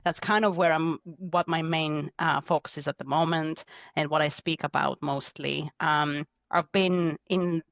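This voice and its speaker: A woman's voice: sits low, fairly even intonation, unhurried, no echo. A woman in her thirties from Greece with a Greek accent, chatting as a podcast guest with many fillers and restarts. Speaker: podcast guest, tech delivery lead. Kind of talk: conversational interview answers.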